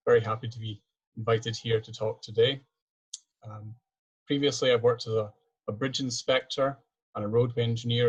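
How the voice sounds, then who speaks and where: A man's voice: fairly low pitch, husky, lo-fi sound. A young Scottish man, a PhD student, giving a webinar presentation over a video call in an earnest way with frequent restarts. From Scotland.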